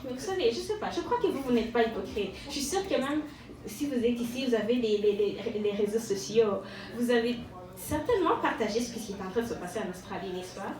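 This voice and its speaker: In a very echoey room, a woman delivers stand-up in a fairly high voice. She is ironic and self-mocking, with hesitant pauses and repeated words. The microphone sits a little way off. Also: expressive, a crowd in the background. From Rwanda. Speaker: stand-up comedian. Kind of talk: stand-up monologue to a live audience. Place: live venue with an audience. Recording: echoey room, distant mic, audience noise.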